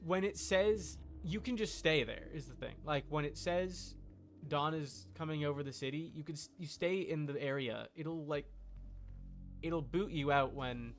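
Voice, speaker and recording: Male game streamer, slightly high voice with vocal fry, loose unscripted rambling. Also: moderate pace, slight background noise. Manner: rambling, unsure, thinks out loud. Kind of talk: casual livestream chat while gaming.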